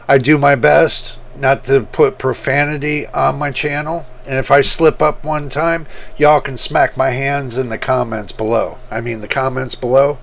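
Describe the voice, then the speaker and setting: A man hosting his computer-building channel, talking casually and rambling, with a rough voice that repeats points as he goes. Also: mid-range voice, fairly bright tone, a little hiss or hum. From United States. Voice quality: rough, gravelly voice. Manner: slow, rambling, repeats himself. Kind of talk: casual talk to camera.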